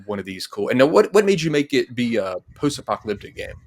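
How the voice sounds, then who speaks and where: A man's voice: medium pitch, big pitch swings, quick pace. A man hosting a casual interview over a video call, talking in an agreeable, affirming way. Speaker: interviewer, host. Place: indoor room, video call.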